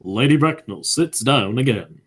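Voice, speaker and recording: A man's voice: mid-range voice, expressive, unhurried, breathy edge. A man narrating a radio play, reading short stage directions as scripted narration.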